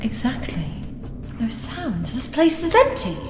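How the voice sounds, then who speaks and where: A woman's voice: high voice, wildly swooping pitch, a little slow, full character voice. A woman playing a wary, earnest young adventurer in a fantasy audio drama. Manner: earnest, urgent. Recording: echoey room with music behind.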